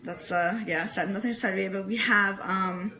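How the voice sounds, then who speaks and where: A woman's voice: mid-range voice, fairly even intonation, brisk, sharp tone. A woman talking as an enthusiastic interview guest, earnest and emphatic, repeating phrases to stress her points. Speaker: interview guest, fan club leader. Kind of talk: enthusiastic casual interview chat.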